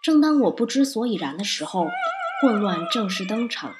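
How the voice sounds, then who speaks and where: A woman reads a scripted first-person review for an audio podcast. Her voice sits at a medium pitch. She speaks as a reviewer narrating from a prepared text. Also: very animated, normal speed, over loud music.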